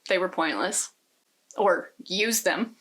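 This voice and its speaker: A woman's voice: fairly low pitch, expressive, slow pace, a bit bright. A woman co-hosting a podcast, casually talking through a book in a thoughtful, opinionated way. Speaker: podcast co-host.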